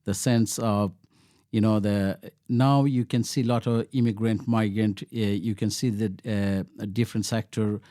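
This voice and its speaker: A man from Bangladesh, a local councillor, answering interview questions in a halting way with many fillers and restarts. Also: lowish voice, steady tone, warm tone, no room sound, studio quality.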